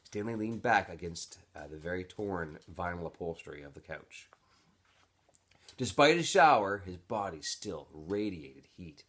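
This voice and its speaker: Animated low-voiced man reading a story aloud. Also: measured pace. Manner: expressive, switches into character voices. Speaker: teacher reading aloud to students. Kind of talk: animated children's novel read-aloud.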